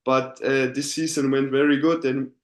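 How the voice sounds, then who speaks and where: A young German-accented man speaks careful, halting English, pausing often on fillers and starting phrases over. His voice sits at an ordinary pitch for a man, and it carries a noticeable roomy echo from the space he's recording in. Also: flat delivery. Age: 20s. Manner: halting non-native English, many uh fillers and restarts. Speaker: professional footballer, guest. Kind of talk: casual interview.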